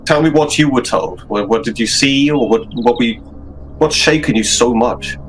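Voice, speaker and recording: A man voicing a world-weary older gentleman investigator with halting, courteous, condescending speech in a tabletop roleplaying game. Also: mid-range voice, natural voice, rough recording. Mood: patronising. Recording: faint music behind the voice.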